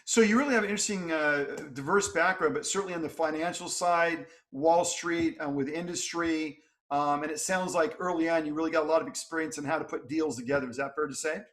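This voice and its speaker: A middle-aged man hosting an interview over a video call, upbeat and filling pauses with "uh". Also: on the high side, a bit bright. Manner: upbeat, many "uh" fillers. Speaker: podcast host. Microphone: webcam on video call.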